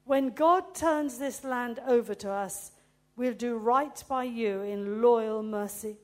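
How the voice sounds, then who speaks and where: A woman's voice: fairly high pitch, slow pace. A woman reading aloud the solemn promise of two male spies.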